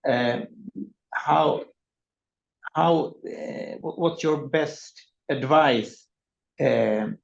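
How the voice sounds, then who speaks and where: A man's voice: fairly high pitch, extremely slow, vocal fry, pauses often. A man hosting a webinar panel, asking questions hesitantly with many fillers. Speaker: webinar moderator. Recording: roomy, dull lo-fi audio.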